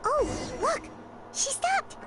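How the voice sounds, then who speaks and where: A woman voicing a tiny, excitable, childlike fairy companion in a video game, with an earnest manner. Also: extremely high pitch, takes it slowly, background noise, exaggerated voice. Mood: excited, alarmed. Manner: speaks of herself in the third person, earnest. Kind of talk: animated video game voice acting.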